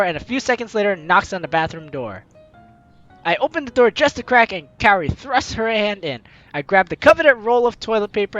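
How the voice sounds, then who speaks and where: A bright, forceful voice hosting a game playthrough, reading story text aloud with theatrical flair. The pitch swings around in a lively way and the commentary is playful. Soft game music plays behind. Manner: animated, theatrical, playfully exclaiming. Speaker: gaming video host reading a visual novel aloud.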